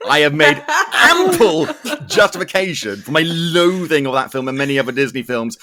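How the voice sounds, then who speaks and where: A man's voice: a little high, big pitch swings, normal speed, a crowd in the background. A man hosting a podcast, chatting casually and comedically through entertainment news with opinionated, wry rambling. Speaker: podcast host.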